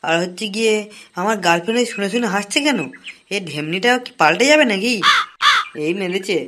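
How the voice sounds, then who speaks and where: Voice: mid-range voice, brisk, fairly bright tone, close to an everyday voice. An actor plays a jobless young cartoon boyfriend with a pleading, whiny manner. He is crude and comic, and tends to say things over again. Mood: panicked.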